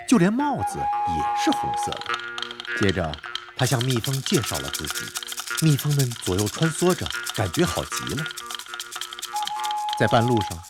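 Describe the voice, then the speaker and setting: A man narrating an animated folk tale in an expressive, scripted storytelling style. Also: medium pitch. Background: music.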